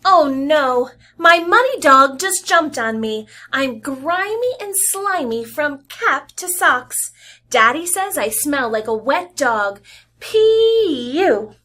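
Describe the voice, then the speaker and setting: Animated woman reading a picture book. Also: sits high, takes it slowly. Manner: expressive, animated storybook reading with sound effects. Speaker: teacher reading aloud. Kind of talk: read-aloud to young children.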